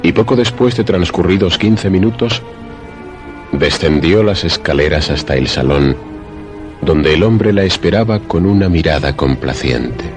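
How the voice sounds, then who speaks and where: A man narrating a scripted suspense radio drama in a measured, formal, literary manner. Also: sits low, lively intonation, over music.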